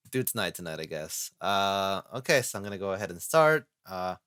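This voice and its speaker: A man's voice: low voice, sing-song, unhurried, bright, forceful tone, clear, resonant tone, dry recording, clean studio sound. A man hosting a casual podcast read-through who lays out the plan step by step. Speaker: host and editor.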